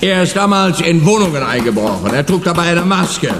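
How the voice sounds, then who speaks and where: High, swooping, smug policeman. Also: big pitch swings, brisk, fairly bright tone, faint music behind. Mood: smug, breezy. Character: smug, chatty, eccentric male police officer. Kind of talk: radio play dialogue. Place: police headquarters scene, reverberant room. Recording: echoey hard-walled room, long reverb.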